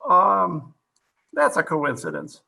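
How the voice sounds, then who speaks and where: A man playing a skeptical, brusque police captain in an old-time radio mystery, with a rough, demanding delivery. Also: fairly high pitch, huge pitch swings, slow pace, bright, forceful tone, heavily acted. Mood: doubtful, puzzled. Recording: home video-call recording.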